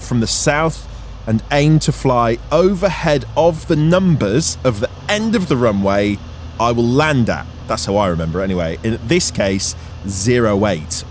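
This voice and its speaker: A man's voice: mid-range voice, slight background noise. A man narrating an explanatory vlog as its host, in a voice-over style. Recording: music under the voice. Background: background music.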